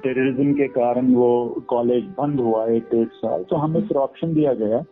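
A middle-aged man from Kashmir, a guest on a radio interview, speaking in a hesitant way with many pauses and English phrases mixed in. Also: mid-range voice, fairly even intonation. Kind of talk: casual interview chat. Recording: tinny phone-like radio sound, faint music.

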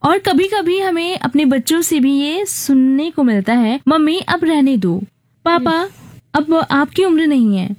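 A woman's voice: high voice, animated, unhurried, darkish tone, dry recording. A woman hosting an FM radio show, chatting cheerfully and mixing English words into her talk. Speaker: radio host. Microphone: studio mic.

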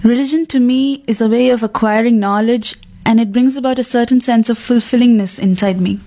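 A woman's voice: a little high, fairly even intonation, fairly quick, mellow. A young woman student in her twenties, giving a short prepared statement. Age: 20s.

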